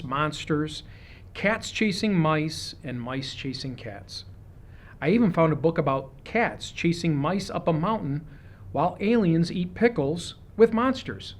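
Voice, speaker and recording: A man reading a children's picture book aloud, with expressive, deliberate phrasing suited to young listeners. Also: on the high side. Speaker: storybook reader.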